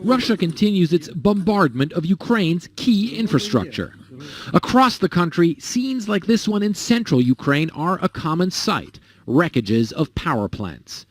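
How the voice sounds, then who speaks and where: News guy voiceover, highish animated voice, slow dramatic pauses. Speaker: news correspondent. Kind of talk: scripted news narration.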